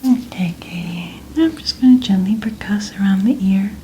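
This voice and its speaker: A woman doing a soft-spoken ASMR medical exam roleplay, gently whispering in a soothing, reassuring manner. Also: medium pitch, animated, moderate pace, a little hiss or hum, poor audio. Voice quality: soft, breathy, largely whispered. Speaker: ASMR performer role-playing a medical examiner.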